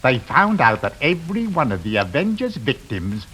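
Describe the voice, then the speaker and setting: An actor playing an excitable, good-natured working-class Victorian husband with a homely, eager manner in an old radio drama. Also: male voice, mid-range voice, moderate pace, poor audio. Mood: chatty. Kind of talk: old-time radio suspense acting. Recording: hissy old radio recording.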